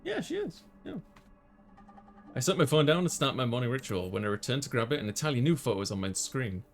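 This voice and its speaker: A man's voice: mid-range voice, huge pitch swings, quick pace, a little hiss or hum. A man doing a let's play, reading a visual novel aloud and dropping in casual commentary. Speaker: let's player.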